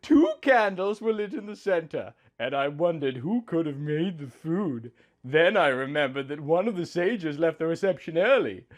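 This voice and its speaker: A man reading a romantic story aloud in a dramatic, drawn-out, theatrical manner. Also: on the high side, animated. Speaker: narrator reading a fan story. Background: background music.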